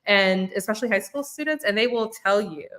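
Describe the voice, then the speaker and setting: Bright-voiced casual woman. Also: mid-range voice, lively intonation, fairly quick. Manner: casual, many "like" fillers, thinks aloud. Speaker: youth organization leader. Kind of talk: conversational panel discussion.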